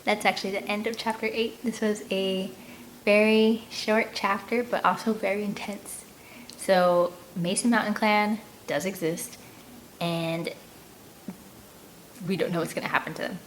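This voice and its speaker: Expressive woman reading aloud, noisy recording. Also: medium pitch, a little slow. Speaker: read-aloud host and narrator. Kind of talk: expressive audiobook narration with casual asides.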